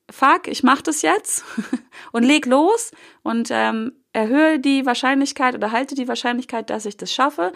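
A woman's voice: on the high side, gravelly, fairly bright tone. A young woman with a cold, hosting a solo motivational podcast in a casual coaching tone, with loose fillers and the odd swear word. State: has a cold. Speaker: podcast host.

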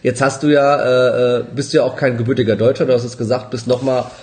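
A man's voice: medium pitch, level tone, fast talker, a little mellow. A man with a mid-range voice hosting a casual interview. He sounds eager and full of praise, tripping over and repeating words as he shapes his questions. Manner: enthusiastic, repeats words, stumbles. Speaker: interviewer, podcast host.

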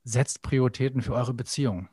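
A middle-aged man speaking earnestly in a reflective interview, animated and quick to act out the voices in his head. His voice sits at an ordinary middle pitch, recorded close and dry with no room sound. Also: husky, dry recording. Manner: earnest, animated, acts out quoted inner voices. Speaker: podcast guest.

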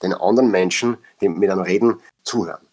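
Brief: Austrian male actor, low husky voice, reflective podcast guest. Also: quick pace, a little mellow. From Upper Austria. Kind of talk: casual podcast conversation.

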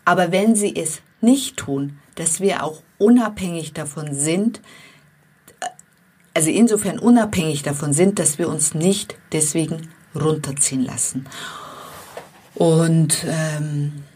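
Woman, slight foreign accent, self-help coach, reflective, unhurried, breathy tone. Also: low-pitched. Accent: non-native accent. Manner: calm, reflective, earnest, addresses listeners directly. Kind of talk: motivational monologue to viewers.

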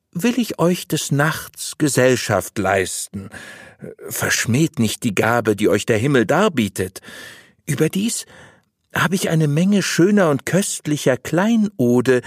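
A man playing a sly, lecherous abbot in a storybook, speaking with unctuous, deliberate persuasion and false piety. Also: mid-range voice, dry recording, studio quality, voice pushed up. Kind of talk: audiobook storytelling.

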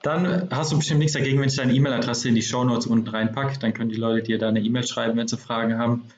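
A man's voice: medium pitch, steady tone, fast, a little mellow. A man hosting a podcast interview, talking loosely with many fillers as he sums things up. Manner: summarizing, loose and chatty with fillers. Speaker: podcast host. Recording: dull, roomy sound with faint noise.

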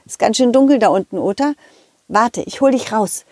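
A woman's voice: fairly high pitch, animated, normal speed, a little mellow, dry recording. A woman voicing a cheerful, loyal young male dog in a children's audio play, fussing eagerly over his friend. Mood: worried, caring.

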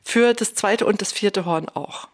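A woman hosting a podcast in a conversational style, with articulate, deliberately phrased introductions and questions. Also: mid-range voice. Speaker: podcast host, orchestra dramaturg.

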